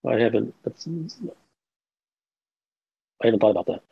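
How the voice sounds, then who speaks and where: A man who answers questions bluntly and deliberately, his low voice gravelly. The audio is dull and lo-fi. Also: fairly even intonation. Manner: blunt, measured, slow and deliberate. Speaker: guest answering audience questions. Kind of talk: terse question-and-response.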